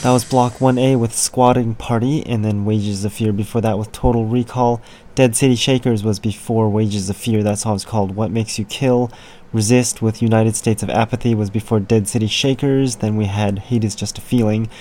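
A man hosting a radio show, casually back-announcing songs in a raspy, hoarse voice with offhand, grumbling asides. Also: lowish voice, fairly even intonation, moderate pace, dark tone. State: hoarse from yelling.

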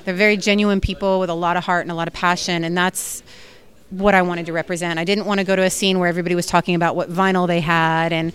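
A woman's voice: on the low side, flat delivery, fast talker, fairly bright tone, clean tone. A woman film director answering interview questions in an earnest, enthusiastic way, with frequent fillers.

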